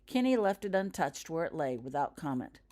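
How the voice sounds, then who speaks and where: Low-pitched woman reading a story aloud. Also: big pitch swings, fairly quick, dark tone. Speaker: author reading own fan fiction aloud. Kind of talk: scripted audiobook narration.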